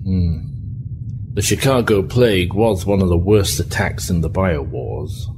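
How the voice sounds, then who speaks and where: Low-voiced, firm ship's doctor acted by a man. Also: music in the background. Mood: grave, patient. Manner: measured, admonishing, clinical. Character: starship doctor, patient but firm, scolding man. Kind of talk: audio drama acting. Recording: clearly noisy recording with background ambience.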